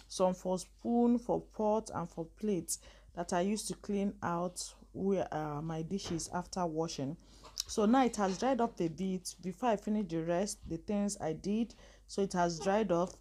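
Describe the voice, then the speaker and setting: A woman talks through her cleaning chores in a loose, rambling way. Her pitch sits in an ordinary middle range, and she breaks into laughter now and then. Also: dark tone, a little hiss or hum. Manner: chatty, rambling, laughs often. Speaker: vlogger. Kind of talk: casual cleaning narration.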